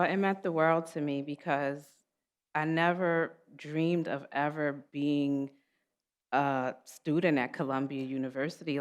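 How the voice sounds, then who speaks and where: A woman from Queens, answering on a panel in an earnest, conversational way, often saying "like". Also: low-pitched. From Queens, New York. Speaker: panelist, program alumna.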